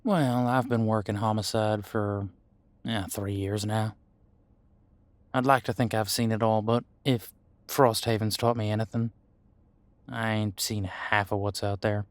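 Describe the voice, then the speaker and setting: A man playing an easygoing demon homicide detective in an audio roleplay, talking in a casual, folksy, reassuring way with hesitant pauses. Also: on the low side, steady tone, dry recording, lightly acted. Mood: reflective. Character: easygoing male demon homicide detective mentoring new partner. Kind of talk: audio roleplay drama, conversational monologue.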